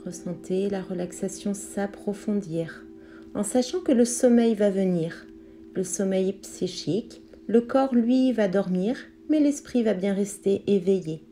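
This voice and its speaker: A woman leading a guided yoga relaxation as an instructor, speaking calmly in measured, instructive phrases. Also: mid-range voice. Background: meditation music with singing bowl tones.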